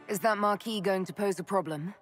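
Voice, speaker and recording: A woman's voice: mid-range voice, fairly quick, faint music behind, close to an everyday voice. An actress playing a composed, diplomatic female elf mage in a fantasy game, formal and direct. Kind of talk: restrained video game dialogue.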